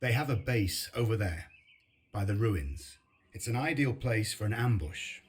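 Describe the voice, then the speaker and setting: A man's voice: lowish voice, lively intonation, unhurried. A man playing a weary, battle-hardened middle-aged resistance leader in a video game, terse and dryly sardonic. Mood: commanding, dry. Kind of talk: video game cutscene acting and narration. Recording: very noisy, engine and ambience behind.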